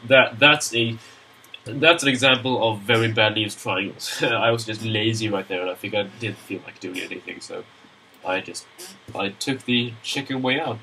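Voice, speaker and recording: A man's voice: on the low side, bright, forceful tone, slight background noise, rough recording. A young man with a Swedish accent, nasal from a cold, narrating a screen-recorded modeling tutorial in a rambling, chatty way with many fillers. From Sweden.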